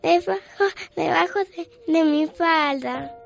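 High, creaky-voiced little girl. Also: slow pace, lo-fi sound, heavily acted. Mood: sad, timid. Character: sweet six-year-old girl, trusting and timid. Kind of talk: children's radio drama acting. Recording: music behind the voice.

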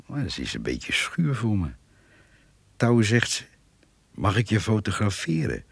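An actor playing a grumbling, chatty older countryman in a radio play, gravelly, muttering and folksy. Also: lowish voice, wildly swooping pitch, unhurried, dry recording, exaggerated voice. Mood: musing. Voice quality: gravelly, husky. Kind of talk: Dutch radio play acting.

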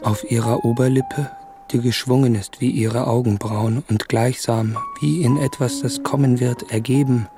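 A man playing an earnest, shy, enamoured young gentleman in a literary radio play, speaking tenderly and dreamily. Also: medium pitch, steady tone, moderate pace, over music, lightly acted. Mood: dreamy, tender.

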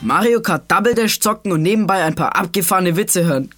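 A woman's voice: on the low side, lively intonation, quick pace, a bit bright, faint music behind. A woman playing a cocky, teasing young gamer who hosts a scripted audio show for kids. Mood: teasing, confident. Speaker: show host.

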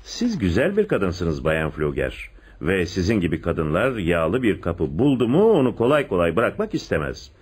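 An actor playing a shrewd, domineering police inspector in a radio play, questioning people insistently. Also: male voice, low-pitched, huge pitch swings, moderate pace, darkish tone, slight background noise. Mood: probing, pressing. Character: shrewd, domineering male police inspector interrogating and giving orders. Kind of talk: radio theatre acting.

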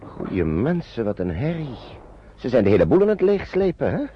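An older man acting in a Dutch radio play as a reluctant, harried elderly English gentleman, hesitant and stammering in his protests, with a husky voice. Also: medium pitch, wildly swooping pitch, normal speed, slight background noise. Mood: concerned. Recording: dull, dry old radio recording.

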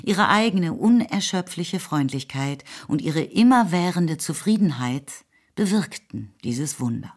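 A woman narrating a period novel for a radio play in a measured, wry, literary manner. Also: fairly low pitch, lively intonation. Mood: composed, wry.

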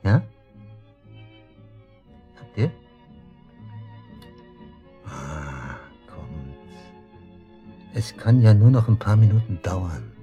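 A man's voice: deep voice, sing-song, extremely slow, long pauses, lo-fi sound, music in the background, heavily acted. An actor in a German radio drama playing a smug, taunting man just released from custody, speaking with mocking casualness. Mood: menacing, sly.

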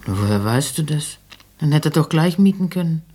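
Fretful older mother and landlady, radio drama. Soft dark tone, husky, homely, doubting. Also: low voice, animated, brisk. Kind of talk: German radio play acting.

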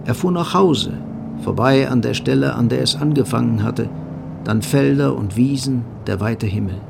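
A man's voice: mid-range voice, moderate pace, gravelly, noisy background. A man delivering scripted literary narration for a radio drama.

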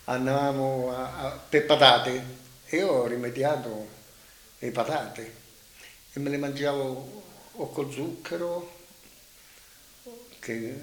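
Halting older man in an echoey room. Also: medium pitch, fairly bright tone. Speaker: witness giving testimony. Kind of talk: spoken recollection. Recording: echoey, noisy room recording.

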